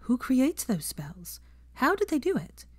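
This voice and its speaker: A woman voicing a young female teacher who lectures a class in a brisk, earnest, encouraging way with projected questions. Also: sits high, wildly swooping pitch, darkish tone, dry recording, clean studio sound, voice pushed up, full character voice. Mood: brisk, instructive.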